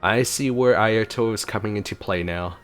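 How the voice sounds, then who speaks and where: A man's voice: lowish voice, moderate pace. A man giving casual gameplay commentary as a streamer, laid-back with wry asides. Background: game music.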